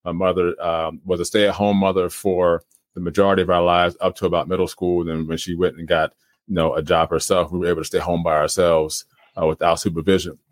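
A man, a guest on a podcast, talking casually about himself with many pauses. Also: low voice, dry recording. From Trenton, New Jersey. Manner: relaxed, many fillers and uhs. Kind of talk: casual interview talk.

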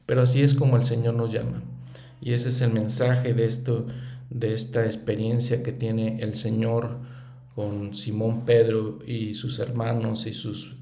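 A middle-aged man leads a thoughtful scripture lesson, earnest and unhurried, often circling back to restate a thought. His voice is warm and mellow. The recording sounds roomy, with noticeable echo around him. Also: medium pitch, flat delivery. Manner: earnest, thinking aloud, with fillers and restarts. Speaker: scripture study teacher. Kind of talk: reflective religious lesson.

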